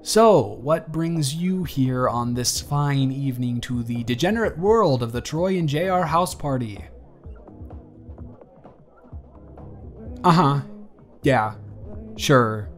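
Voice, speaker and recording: A man's voice: mid-range voice, measured pace, lightly acted. Guy playing a young college dude talking to his crush, dry n teasing, kinda awkward, casual roleplay audio w music. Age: young adult. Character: wry, awkward, kind male college student chatting with crush. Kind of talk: intimate scripted roleplay audio, conversational.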